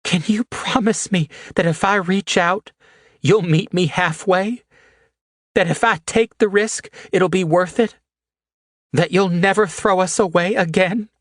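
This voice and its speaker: A man's voice: sits high, a little slow, a bit bright, dry recording, clean studio sound, voice pushed up. A man voicing a tearful, fierce young woman. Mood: pleading. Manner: pleading, fierce.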